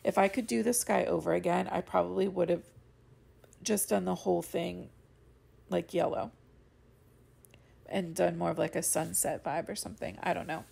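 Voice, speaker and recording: A young woman talks through her craft tutorial in a loose, rambling voiceover. Her voice sits low and carries a heavy gravelly fry. She sounds stuffy and congested, with a slight crack at times. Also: steady tone, moderate pace, pauses often, dark tone. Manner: chatty, rambling with fillers. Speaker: card-making channel host. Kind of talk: casual voiceover of a coloring tutorial. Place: home studio voiceover.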